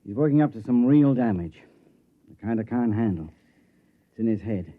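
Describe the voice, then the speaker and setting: Tired wry male space doctor, lowish serious voice, narrow tinny old radio drama. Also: animated, moderate pace. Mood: grave. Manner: grave, wry. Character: weary, frank male ship's doctor. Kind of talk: 1950s radio drama acting.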